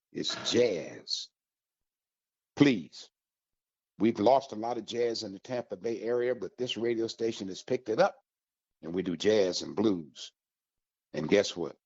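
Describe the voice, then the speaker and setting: Male radio host, low and raspy, emphatic promo delivery speaking directly to listeners, muffled recording. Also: darkish tone. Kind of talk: upbeat radio promo talk. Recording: dull, slightly lo-fi audio.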